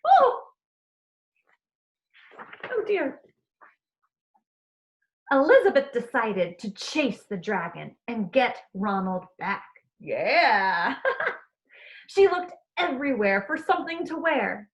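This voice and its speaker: A woman teacher reading a storybook aloud expressively, addressing young listeners in an animated way. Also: a little high, poor audio.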